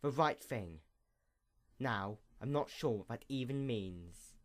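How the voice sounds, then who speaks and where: A man's voice: medium pitch, animated, slow pace. Storybook role: weary, self-doubting female magical creature played by a male narrator, sombre, musing, varied intonation.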